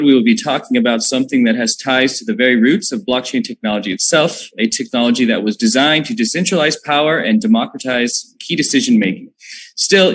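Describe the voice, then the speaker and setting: A man's voice: medium pitch, a bit bright, husky, rough recording. A man moderating an online panel discussion, who reads a scripted opening and then prompts the guests.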